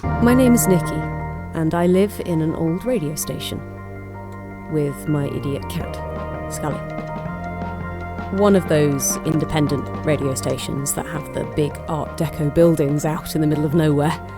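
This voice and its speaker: A woman playing a reclusive, hopeful radio operator, narrating an audio drama in a measured, wistful way. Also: low voice, over music. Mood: quietly wistful.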